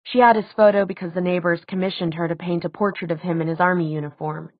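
A woman voicing a gentle, weary man in an audiobook, speaking in a quiet, measured, apologetic way. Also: lowish voice, fairly even intonation, no room sound, phone-quality sound, lightly acted. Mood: explaining. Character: gentle, weary adult man, guardian figure.

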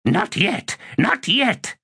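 A man voicing Olar Ethil, an ancient female sorceress with a raspy, contemptuous voice, in an audiobook reading. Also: sits high, big pitch swings, extremely slow, pushed and bright, no room sound, studio quality, pitched above the performer's own voice, full character voice.

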